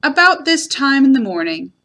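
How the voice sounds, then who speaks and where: A woman voicing a humble, dreamy male bear in a storybook reading, simple and gently earnest. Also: high voice, sing-song, moderate pace, full character voice. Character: humble, dreamy, slow-witted male bear who loves honey.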